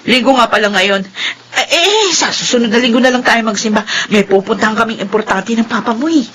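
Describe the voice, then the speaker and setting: A woman's voice: a little high, a little fast, fairly bright tone, breathy edge. A woman playing an emotional, busy mother in a Filipino radio drama. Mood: flustered. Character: mother of a newly well-off family, emotional and busy.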